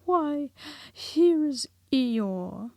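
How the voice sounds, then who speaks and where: A woman's voice: high-pitched, expressive, very slow, darkish tone, no echo, studio quality, pitched above the performer's own voice, exaggerated voice. A woman doing an eager, friendly bear. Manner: eager, welcoming. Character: friendly male teddy bear, eager and simple. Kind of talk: storybook reading.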